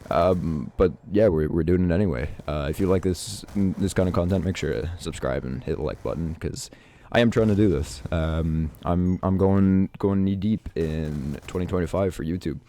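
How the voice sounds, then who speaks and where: A teenage guy from Canada, sick and stuffed up with a raspy voice, talking through his uncertain future in a hesitant, reflective vlog with many restarts. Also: low voice, normal speed, few pauses, warm tone, a little hiss or hum. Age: teens. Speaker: YouTuber.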